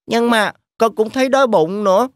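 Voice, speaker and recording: A woman's voice: fairly high pitch, fairly quick, no room sound, clean studio sound, lightly acted. A woman voicing an earnest young kid goat in a cartoon, in a childlike manner. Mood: tired, pleading.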